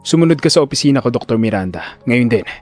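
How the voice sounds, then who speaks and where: Audio drama role: man playing chief neurosurgeon, low, icy, measured, in total command. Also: faint music behind, lightly acted. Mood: icy challenge. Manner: calm, measured, authoritative. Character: cold commanding male chief neurosurgeon, quietly menacing, protective.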